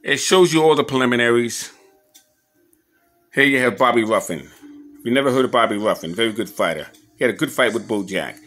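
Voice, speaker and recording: A man giving a casual show-and-tell commentary as an enthusiastic collector, halting a little as he reads old clippings aloud. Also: medium pitch, fairly bright tone, soft background music. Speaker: boxing memorabilia collector showing his scrapbooks on video.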